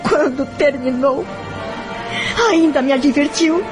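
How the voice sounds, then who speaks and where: A woman's voice: sits high, takes it slowly, over music. A woman with a Brazilian accent acting a wounded young woman who confides her past in an audio novel. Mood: anguished. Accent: Brazilian Portuguese. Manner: sorrowful, confiding.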